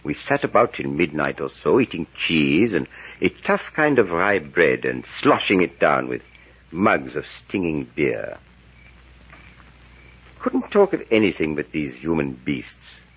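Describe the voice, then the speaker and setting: Brooding scholarly professor for vintage radio serial; bottomless husky register, slow-building dramatic intensity, old muffled sound. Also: male voice, extremely low pitch, very animated, fairly bright tone, exaggerated voice. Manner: deliberate, scholarly, swelling into theatrical intensity. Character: grave elderly male European professor, steeped in dark lore. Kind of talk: 1940s radio acting, dramatic storytelling.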